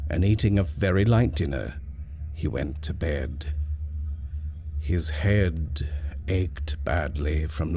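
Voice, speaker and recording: A man reading a ghost story aloud in a measured, hushed storytelling manner. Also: deep voice. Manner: slow, measured, hushed at tense moments. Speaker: narrator. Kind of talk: scripted horror story reading.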